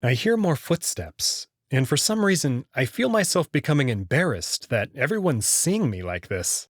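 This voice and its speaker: Husky, expressive male narrator. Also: medium pitch, normal speed, no echo, clean studio sound. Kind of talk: scripted first-person visual novel narration.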